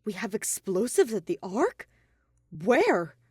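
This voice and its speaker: Lady doing a nervous young detective guy, earnest, easily flustered, very animated, dry close sound. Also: medium pitch, slow pace, husky, no echo, full character voice. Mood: puzzled. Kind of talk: fan fiction audio story character voice.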